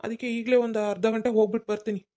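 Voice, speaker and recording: A woman's voice: a little high, steady tone, mellow, close to an everyday voice. A woman voicing an evasive young man who brushes off his mother, in a cartoon. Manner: hurried, brushing off questions.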